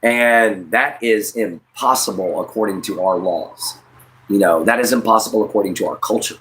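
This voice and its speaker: Low-voiced American man chatting on a livestream. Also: moderate pace, fairly bright tone, poor audio. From United States. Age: late 30s. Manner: addresses listeners directly, rambling and repetitive. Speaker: livestream host. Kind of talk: casual livestream chat, opinionated monologue.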